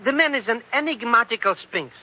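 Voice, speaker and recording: An actor playing an excitable, evasive sidekick in an old radio drama, with flustered, stammering and oddly formal speech. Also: male voice, extremely high pitch, brisk. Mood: weary, defensive. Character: comic bumbling male sidekick, excitable and evasive. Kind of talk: 1940s radio drama acting. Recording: muffled old radio recording, faint hiss.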